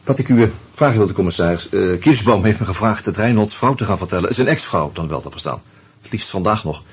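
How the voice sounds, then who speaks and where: An actor playing an uneasy murder suspect in a radio play, musing and hesitant, with a wry streak. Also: male voice, fairly low pitch, animated, fast talker, slightly rough. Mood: wary, hesitant. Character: uneasy male murder suspect narrating his own investigation. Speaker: actor and first-person narrator. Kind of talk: Dutch radio play, reflective narration and dialogue.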